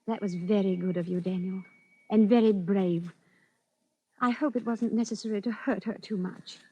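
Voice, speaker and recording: An actress in old-time radio style playing a genteel widow, proper and coaxing, with a fussing, sweetly controlling manner. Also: female voice, medium pitch, fairly even intonation, normal speed, warm tone, husky, dull audio, natural voice. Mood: tender, coaxing. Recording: faintly noisy old radio recording.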